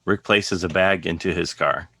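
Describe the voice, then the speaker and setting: A man narrates a script's scene directions. His voice is very low and crisp-edged, recorded dry and close. He reads in measured, declarative sentences. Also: fairly even intonation, pushed and bright, no echo.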